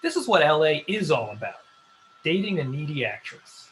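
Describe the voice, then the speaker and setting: Animated, mild boyfriend character man acting. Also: fairly high pitch, normal speed, slight background noise, low-quality recording. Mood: earnest. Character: mild, naive boyfriend, former preschool teacher. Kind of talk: comedy script table read.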